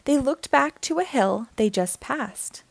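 Woman, children's storytime reader, high voice, very varied intonation, expressive, deliberate. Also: vocal fry, no echo. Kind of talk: children's picture book read-aloud.

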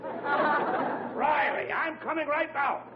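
A man's voice: very high voice, very slow, a crowd in the background, full character voice. An actor playing an irate middle-aged father in 1940s radio comedy, blustering, scolding and threatening. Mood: suspicious, angry. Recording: dull, noisy old radio recording.

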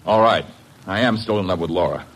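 An actor playing a defensive, hot-headed young man in love, halting and stammering in a classic radio drama. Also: low-pitched. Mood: defensive, agitated.

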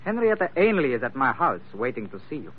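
A man's voice: mid-range voice, very animated, background noise, close to an everyday voice. Man playing a suave radio villain, swooping, tinny recording. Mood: smooth, persuasive. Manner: formal, polite, hesitant pauses. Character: suave, courteous male villain, smoothly polite rival. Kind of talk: 1940s radio acting.

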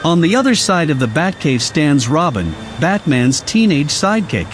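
A man's voice: fairly high pitch, big pitch swings, moderate pace, a little hiss or hum. A man reading a scripted action story as a dramatic narrator. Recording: loud music under the voice. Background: loud music.

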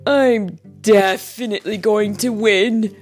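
A woman voicing a boastful, easily wounded coyote in a children's story, with drawn-out, emphatic words. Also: medium pitch, fairly even intonation, faint music behind, voice pushed up.

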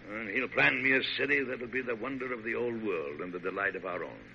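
An actor in a vintage radio drama playing a dignified, visionary American president, grand and declamatory, quick to exasperation. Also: male voice, lowish voice, vocal fry, pushed and bright, exaggerated voice. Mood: dreamy, proud. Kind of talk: 1940s radio drama acting. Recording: noisy, muffled old radio broadcast.

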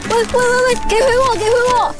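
一位女演员用马来西亚华语腔演绎广播剧里一个泼辣、爱碎碎念的年轻女生。. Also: female voice, extremely high pitch, fairly even intonation, fast. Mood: flustered anger. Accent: Malaysian Chinese-language. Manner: sassy, chattering. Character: feisty, nagging young woman, close school friend. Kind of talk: music radio drama acting. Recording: music behind the voice.

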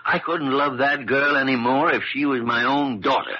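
An actor in an old radio drama playing a gruff, sharp-tongued old doctor with a husky, raspy voice. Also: male voice, mid-range voice, steady tone, normal speed, natural voice. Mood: concerned. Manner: gruff, cantankerous. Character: crusty, sharp-tongued old male doctor, fond underneath. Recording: muffled old radio recording.

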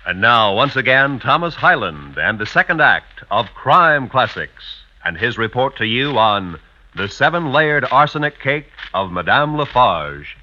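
A man's voice: mid-range voice, very animated, measured pace, sharp tone. A man announcing a radio programme in formal 1950s style. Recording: muffled vintage radio recording.

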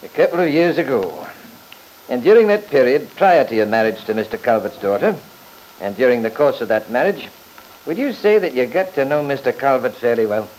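An actor playing a shrewd, courtly lawyer who questions in a measured, formal, probing way, in old-time radio drama style. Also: male voice, medium pitch, animated, darkish tone, close to an everyday voice. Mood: probing, deliberate. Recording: noisy vintage radio recording.

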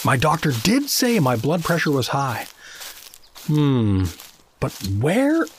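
An actor playing a friendly, hapless middle-aged American dad from South Dakota, chummy and rambling, in a comedic fantasy audio drama. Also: male voice, a little high, wildly swooping pitch, slow pace, a little hiss or hum, heavily acted. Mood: puzzled.

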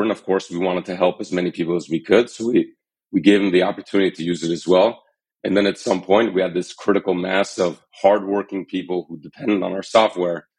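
Man, 30s, very low voice, earnest, measured, interview guest. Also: slightly rough, low-quality recording. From Armenian, born in Iran, raised in the US. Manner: earnest and measured, some fillers. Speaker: startup founder and CEO, podcast guest. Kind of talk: interview storytelling.

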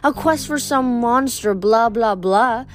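Woman putting on a gushing vain love goddess. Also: fairly high pitch. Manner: breathy, drawn-out, dramatic gushing.

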